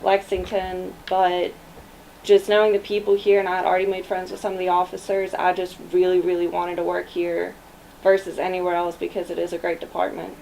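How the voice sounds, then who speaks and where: Young woman, very even pitch. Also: brisk, gravelly, rough recording. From Germany, moved to US as child. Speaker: police officer, interview guest. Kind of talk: conversational interview answers. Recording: noticeable background noise.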